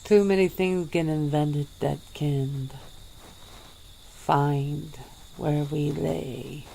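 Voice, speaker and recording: A woman voicing an ancient, weary female vampire in a soft-spoken ASMR roleplay, with low chuckles. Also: fairly even intonation, extremely slow, creaky, slight background noise, full character voice, rough recording.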